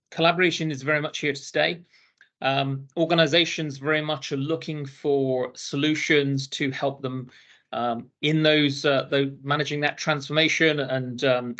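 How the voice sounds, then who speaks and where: A man's voice: medium pitch, fairly bright tone. Hesitant British man presenting a webinar. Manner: hesitant, many ums and uhs.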